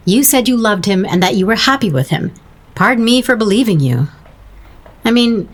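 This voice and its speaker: Wry, animated, nudging friend. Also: female voice, mid-range voice. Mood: wry, needling. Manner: dryly sarcastic, coaxing. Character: painter's blunt, wry, nudging woman friend. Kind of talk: audio drama acting.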